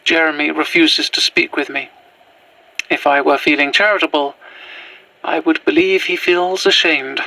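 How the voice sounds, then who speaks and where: A dignified Victorian woman's spirit speaking through another body. Her voice is bright and formal, with measured, old-fashioned diction. It sits close and dry, as in a Gothic audio drama. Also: deep voice, fairly even intonation, no room sound, rough recording. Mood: formal, grateful.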